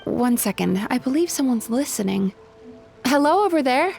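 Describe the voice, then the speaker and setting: A woman voicing a friendly, composed yokai therapist in a video game, polite, measured and reassuring. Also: high-pitched, lively intonation, creaky, darkish tone, soft background music. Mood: poised, inviting. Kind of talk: scripted video game dialogue.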